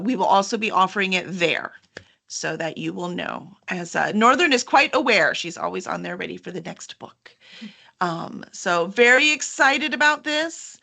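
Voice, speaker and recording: Woman host for a book promo chat: bright, mid-pitched, informal and enthusiastic. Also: creaky.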